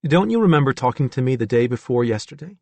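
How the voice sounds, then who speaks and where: A man voicing a gentle, probing woman interviewer, patient and reassuring, in an audiobook story. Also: mid-range voice, lively intonation, fast talker, dry recording, voice pushed up.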